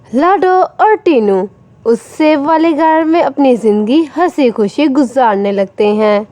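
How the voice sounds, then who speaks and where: A woman's voice: high voice, expressive, slow pace, dark tone, dry recording. A woman narrating a scripted children's story.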